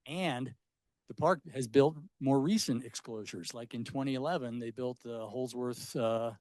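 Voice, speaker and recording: Uomo dalla voce asciutta, spiegazione tecnica esitante. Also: male voice, medium pitch, normal speed, a little mellow, no room sound. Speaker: research scientist on a panel. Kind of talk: unscripted panel answer, explanatory. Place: indoor public panel discussion at a library.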